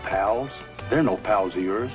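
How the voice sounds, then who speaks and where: An actor playing a plain-spoken ex-convict circus comedian in a 1950s film noir. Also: male voice, on the low side, measured pace, muffled recording, lightly acted. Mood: earnest, warning. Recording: old film soundtrack, music behind.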